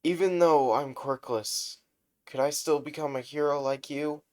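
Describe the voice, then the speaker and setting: A man voicing an excitable, nervous teenage boy who idolizes a hero, stammering and flustered in a fan-told story. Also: a little high, unhurried, pitched above the performer's own voice, lightly acted. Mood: flustered, eager.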